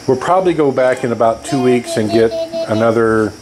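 A man's voice: medium pitch, a little fast, music in the background. An older man vlogging casually with his family, thinking aloud with restarts, in a bare concrete room on a camera mic. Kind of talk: casual chat. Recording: echoey room with loud background noise.

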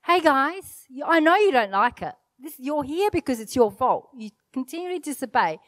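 A middle-aged woman pastor whose first language is Italian, preaching an animated sermon from a church stage into a handheld mic, with self-deprecating asides. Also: high-pitched, normal speed, dry recording. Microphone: handheld microphone.

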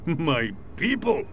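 Sneering alien bad guy, slow and showy, swings his pitch all over. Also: sharp tone, slight background noise, full character voice. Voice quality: gloating, theatrical, sneering. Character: scheming male alien villain disguised as a Russian general. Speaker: villain. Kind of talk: video game cutscene acting.

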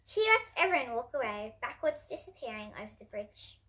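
A girl of about ten to twelve reading a picture book aloud to young children in an expressive, presentational way. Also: fairly high pitch, fairly quick. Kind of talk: storybook read-aloud for children. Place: indoors at home, in front of bookshelves.

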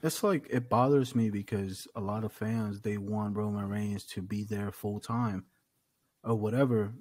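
A husky-voiced American man, recorded dry and close on a desktop mic. He argues his opinions with emphasis and plenty of slang, in the loose style of a podcast chat between friends. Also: on the low side, darkish tone, no echo. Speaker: podcast co-host. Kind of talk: casual wrestling review chat. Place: small room at home, on a video call. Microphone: desktop condenser microphone, close.

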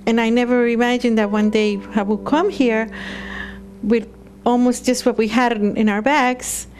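A woman's voice: fairly high pitch, flat delivery, normal speed, darkish tone. A woman from El Salvador, speaking candidly and reflectively in a documentary interview. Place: indoors in a living room.

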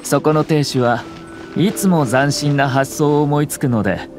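An actor playing a refined young noble lord in a game, with polite, formal, measured speech. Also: male voice, on the high side, close to an everyday voice. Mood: relaxed. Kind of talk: Japanese game voice acting. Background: background music.

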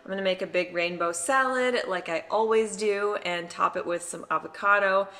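A woman's voice: mid-range voice, moderate pace, pushed and bright, big-room sound. An American woman in her thirties casually explaining things to the camera as a vlogger. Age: 30s. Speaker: family vlogger. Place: home kitchen.

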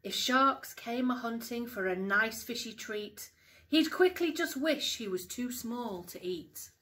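Woman, middle-aged, highish voice, very unhurried, expressive storybook reading for children, home recording. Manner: expressive, deliberate storytelling with emphasised words. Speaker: parent reading a picture book to children. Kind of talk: storybook read-aloud for young children. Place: small living room at home.

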